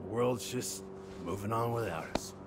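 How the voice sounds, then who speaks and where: A man's voice: on the low side, moderate pace, very noisy, lightly acted. An actor playing a middle-aged small-town handyman in a video game, awkward and well-meaning, with a hesitant, folksy way of talking. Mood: sheepish. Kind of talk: naturalistic video game acting.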